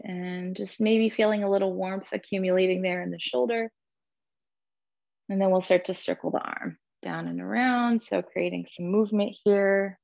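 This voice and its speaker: Female yoga instructor, lowish and creaky, gentle unhurried cues, dry and slightly dull recording. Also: dry recording. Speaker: yoga and meditation teacher. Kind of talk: guided yoga class with breathing cues.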